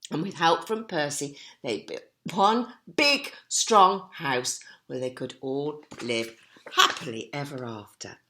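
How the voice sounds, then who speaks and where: A woman's voice: sits low, takes it slowly, sharp tone. A woman storyteller reading expressively to young children, with chatty questions and asides to them. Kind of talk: animated storybook narration with asides to listeners.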